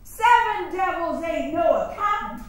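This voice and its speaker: A woman's voice: extremely high pitch, expressive, takes it slowly, full character voice. An actress playing a proud, defiant formerly enslaved woman orator in a staged play reading. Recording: echoey theater from far off.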